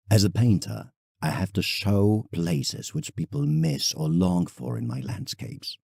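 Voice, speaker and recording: A man's voice: sits low, gravelly, a little mellow, husky, no echo, clean studio sound, heavily acted. A man playing a proud, reflective English landscape painter in an audio drama, telling his travels formally as a letter to a friend.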